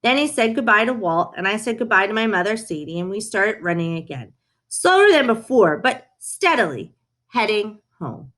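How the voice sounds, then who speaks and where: A woman's voice: mid-range voice, sing-song, moderate pace, a bit bright. Woman, mid-range voice, storybook read-aloud, first-person animal narrator, children's book reader.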